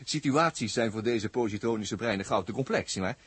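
A male actor playing a wry, talkative, excitable space explorer in a 1960s Dutch radio play. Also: fairly low pitch, brisk, husky, no echo. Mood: amused, explaining. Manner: wry, talkative running commentary. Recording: noisy old 1960s radio recording.

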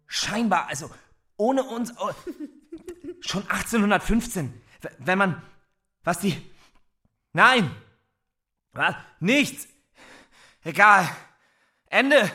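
An actor playing an agitated, intense young teacher who speaks haltingly, repeating himself and breaking off mid-sentence. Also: male voice, high-pitched, sing-song, sharp tone, heavily acted. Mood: confused, frantic. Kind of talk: stage drama acting.

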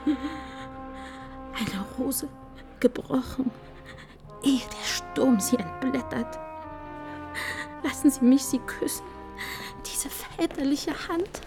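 Young tragic heroine, high breathy voice, slow pleading delivery, devout, passionate, classical radio drama. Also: female voice, steady tone, music in the background. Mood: faint, dying. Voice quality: breathy, husky. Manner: slow, fervent, theatrical whispering and pleading. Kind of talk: mid-century German radio play acting, classical tragedy.

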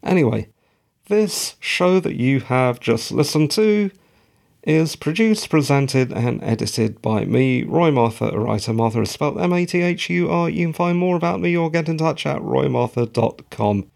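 A man hosting his own podcast, with a croaky, tired voice and rambling, self-mocking asides. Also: on the high side, lively intonation, moderate pace, darkish tone. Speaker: podcast host. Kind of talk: solo podcast recap monologue.

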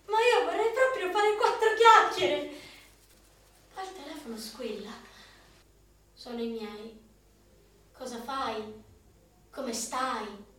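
An actress in a radio play, playing a lonely, sarcastic young woman, a jobless graduate. She drops to a hush when wistful and turns loud and mocking when she rants. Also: high voice, a little hiss or hum, full character voice. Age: young adult. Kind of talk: theatrical monologue for radio. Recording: echoey room from far off, music behind.

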